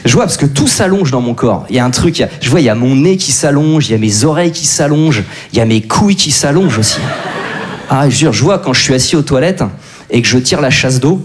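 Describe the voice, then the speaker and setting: A man's voice: medium pitch, lively intonation, quick pace. A man in his forties doing a crude, brash, self-deprecating stand-up set. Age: 40s. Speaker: stand-up comedian. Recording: live show with audience.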